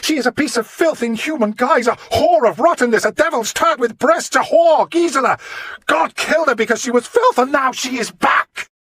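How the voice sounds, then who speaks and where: A man's voice: a little high, a little fast, hardly any pauses, dry recording, pitched above the performer's own voice, close to an everyday voice. A man voicing a frenzied blind old monk who howls and chants in a raving fit. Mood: ranting. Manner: shrieking, howling zealot chant.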